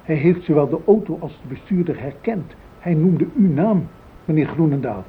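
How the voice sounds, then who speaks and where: An actor playing a blunt, accusing police investigator in a radio play, formal and pressing, with a Netherlands Dutch accent. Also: male voice, on the high side, animated, normal speed, husky. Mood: stern, accusing. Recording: muffled, noisy old radio recording.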